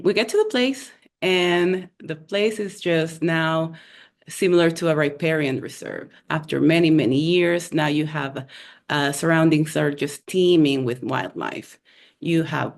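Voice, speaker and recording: Low-voiced Honduran woman telling a story. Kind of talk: personal story told on a video call. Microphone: video call.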